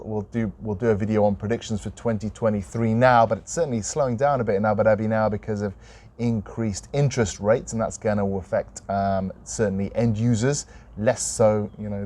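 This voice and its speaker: A man co-hosting a real estate podcast, talking in a casual, conversational and slightly hesitant, measured way. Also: on the low side, a little mellow. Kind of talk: casual unscripted podcast chat.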